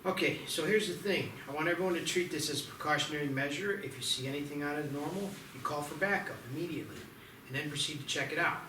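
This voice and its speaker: A man acts out a gruff, devoted sheriff with a dry, plain-spoken way and a fairly high male voice. It is a table read, picked up from a distance in an echoey room with clear background noise. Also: fast talker, close to an everyday voice. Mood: briskly official. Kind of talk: amateur table read of a screenplay. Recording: echoey, noisy, distant microphone.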